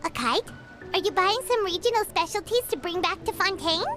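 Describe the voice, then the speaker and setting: A woman voicing a tiny floating girlish companion creature in a video game, chatty and excitable, who refers to herself in the third person. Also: extremely high pitch, a little fast, over music. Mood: chirpy, curious.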